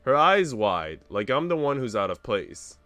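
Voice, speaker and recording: A man's voice: medium pitch, sing-song, a little slow, pushed and bright, dry recording, soft background music. A man streaming a visual novel, reading its narration aloud expressively with casual commentary.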